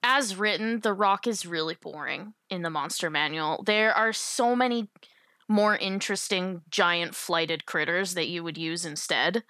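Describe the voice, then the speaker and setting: A woman's voice: fairly low pitch, a little slow, sharp tone, no echo. A woman chatting casually about tabletop gaming in an enthusiastic, emphatic, colloquial way.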